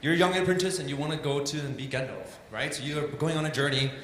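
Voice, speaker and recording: A man's voice: fairly high pitch, quick pace. A man from Denmark with a Danish accent, a native Danish speaker, giving an informal illustration talk in a loose, chatty, self-deprecating way full of "like" and "um". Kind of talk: informal presentation. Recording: echoey hall with steady background noise.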